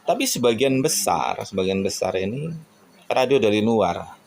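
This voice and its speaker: A man from Indonesia giving a casual, reflective monologue to viewers in an earnest, halting way, often tossing in rhetorical questions. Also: lowish voice, animated, creaky, a little mellow. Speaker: radio hobbyist vlogger.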